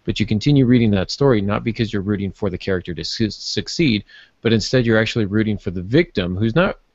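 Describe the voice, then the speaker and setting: Man, lowish voice, podcast panelist, measured and halting, casual literary chat, close dry sound, slightly dull audio. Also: animated, dry recording.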